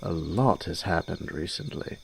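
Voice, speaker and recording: A man's voice: low voice, expressive, a little slow, gravelly, slight background noise, exaggerated voice. A man playing a suave young nobleman in a fantasy audio drama, airy, genteel and teasing. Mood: breezy, teasing.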